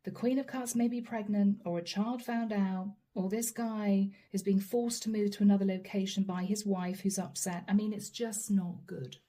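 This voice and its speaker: A woman doing a channeled tarot reading straight to the viewer, earnest and apologetic, her voice going hoarse. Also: medium pitch, fairly even intonation, normal speed, few pauses, warm tone. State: losing her voice. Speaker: tarot reader.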